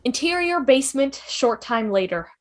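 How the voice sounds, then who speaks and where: A woman with a high, animated voice reads scripted stage directions for a table read. Her narration is expressive, delivered over a video call. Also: moderate pace.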